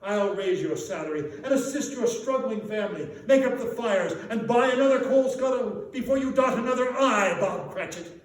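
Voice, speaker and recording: Man performing an excitable old Scrooge, echoey and high. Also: moderate pace, few pauses. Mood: exuberant. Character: old miser Scrooge turned giddy, exuberant and generous. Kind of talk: theatrical storytelling performance. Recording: very echoey room, distant mic, faint noise.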